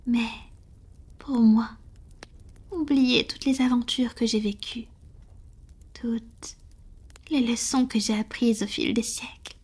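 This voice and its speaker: A woman's voice: a little high, fairly even intonation, takes it slowly, pauses often, a little mellow, husky. A woman playing a tender, vulnerable vampire lover in an intimate, whispered ASMR roleplay, speaking breathily and hesitantly. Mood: tender, wistful.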